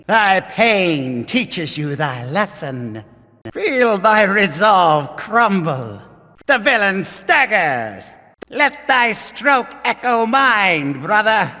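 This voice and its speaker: An actor playing a fierce, fanatical warrior-priest in a video game, delivering archaic combat lines with menacing, bombastic declamation. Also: male voice, high voice, bright, forceful tone, full character voice. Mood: grim menace. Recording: long hall reverb.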